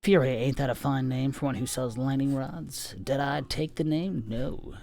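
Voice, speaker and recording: A theatrical, preaching lightning-rod salesman, put on by a man reading aloud. He talks about storms as if he owns them, pitched up from the reader's own gravelly voice. The delivery is fervent and showy. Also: steady tone, moderate pace, a little mellow, close to an everyday voice. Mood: boastful.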